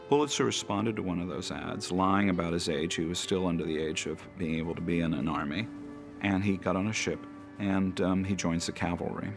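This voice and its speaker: A man with a gravelly voice, telling history as a historian in a documentary interview. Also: sits low. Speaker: biographer, historian.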